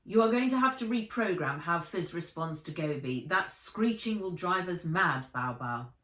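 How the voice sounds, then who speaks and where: A woman's voice: lowish voice, expressive, normal speed, a bit bright. Woman as a commanding male guardian, echoey. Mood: stern. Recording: roomy echo, distant from the mic.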